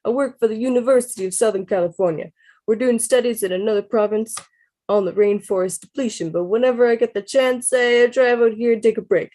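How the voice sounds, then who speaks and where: A woman's voice: on the high side, steady tone, brisk, dark tone, pitched above the performer's own voice, lightly acted. A woman doing a chatty Brooklyn man. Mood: friendly. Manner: chatty and explaining, with playful laughs breaking through. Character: fit, friendly man in his mid-forties, forest researcher. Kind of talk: reading a novel aloud to friends.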